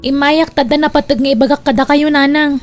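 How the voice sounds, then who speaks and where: A woman's voice: high-pitched, steady tone, a little fast, music in the background, lightly acted. A woman playing a demanding, resentful grown daughter in an Ilocano family radio drama, complaining as she speaks. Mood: accusing. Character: demanding, resentful grown daughter who leans on her sister.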